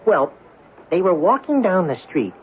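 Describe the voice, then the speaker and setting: Wildly animated, boastful talking coin. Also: male voice, sits high, moderate pace, breathy edge, exaggerated voice. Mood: boastful, confiding. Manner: theatrical, confiding storyteller. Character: talking new dime, boastful, naive, sprightly male. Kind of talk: 1970s radio drama narration. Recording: phone-like lo-fi 1970s radio broadcast recording. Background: music.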